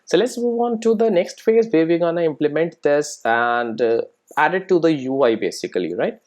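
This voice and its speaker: A software instructor giving an informal screencast coding tutorial, explaining step by step and often checking that listeners follow. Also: mid-range voice, lively intonation, normal speed. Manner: explanatory, frequently checking understanding with tag questions.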